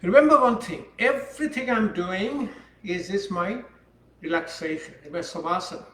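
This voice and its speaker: A man teaching a yoga class, speaking calmly and unhurriedly while he demonstrates the poses. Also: high voice. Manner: calm, unhurried instruction while holding poses. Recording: echoey room, voice from far off.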